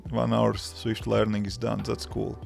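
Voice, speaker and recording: A man in his thirties with a Latvian accent, a developer chatting casually on a live stream in simple, halting English with many restarts. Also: fairly low pitch, monotone, vocal fry, darkish tone, faint music behind. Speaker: livestreaming developer answering chat.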